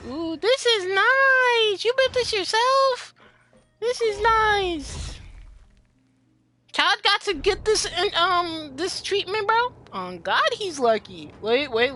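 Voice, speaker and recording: A teenage girl rambling and reacting loudly while commenting on her gameplay. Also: very high voice. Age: teens. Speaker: gameplay streamer.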